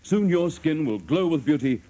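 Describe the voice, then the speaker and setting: A man reading a scripted sales pitch in the style of a 1950s radio announcer. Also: lively intonation, moderate pace, mellow, poor audio. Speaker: radio announcer, advertising reader.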